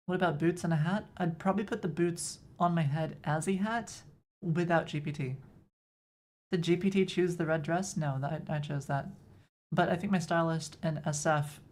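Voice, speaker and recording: A woman's voice: on the low side, darkish tone. A woman from Texas with a Texan accent, chatting casually with her live chat while she codes. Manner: chatty, answers chat questions, self-deprecating. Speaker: coding streamer.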